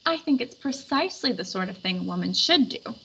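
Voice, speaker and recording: A woman reads aloud as a self-assured storybook lady detective of the 1800s, firm and precise. The voice is bright and fairly high, on a slightly dull recording. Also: lively intonation, fairly quick, gravelly, exaggerated voice. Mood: firm, confident. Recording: dull lo-fi recording with background noise.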